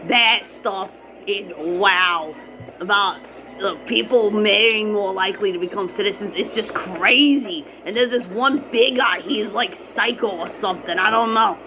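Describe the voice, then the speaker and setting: A woman's voice: mid-range voice, measured pace, pushed and bright, faint music behind. A woman playing a chatty, easily distracted, argumentative grandmother on a prank phone call, drawing out her words for emphasis. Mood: gushing, rambling. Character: chatty, defiant, easily distracted grandmother, swooning over TV men.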